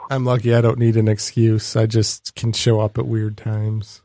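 A man, hosting a comedy podcast. He rambles in a deadpan way, stretching ideas to absurd extremes. His voice is recorded close and dry, with no room sound. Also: moderate pace, dry recording. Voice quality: low voice. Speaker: podcast host. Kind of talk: casual comedy podcast chat.

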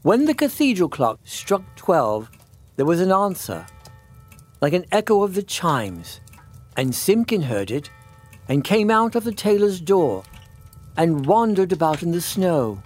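A man reading a children's storybook as a scripted narration, in an expressive, measured storytelling manner. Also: high voice, soft background music.

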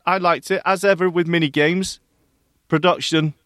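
A man co-hosting a podcast, chatting casually and reviewing games in an animated, bantering way. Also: a little high, moderate pace, no echo, clean studio sound. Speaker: podcast co-host.

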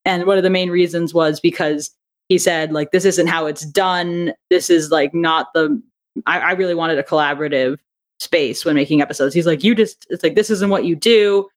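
A woman's voice: fairly low pitch, moderate pace. Chatty woman being interviewed. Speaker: podcast guest, audio drama writer and director. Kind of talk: conversational interview.

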